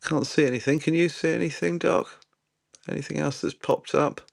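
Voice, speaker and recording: A man's voice: a little high, steady tone, normal speed, gravelly, dry recording. A man hosting a livestream interview, polite and self-deprecating as he wraps up questions. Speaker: show host. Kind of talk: livestream conversation.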